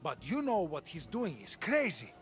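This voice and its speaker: An actor playing a defiant, flustered merchant in a video game. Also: male voice, on the high side, wildly swooping pitch, moderate pace, music in the background. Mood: defensive pleading. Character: defiant, flustered male merchant pleading over a debt. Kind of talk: dramatic video game acting.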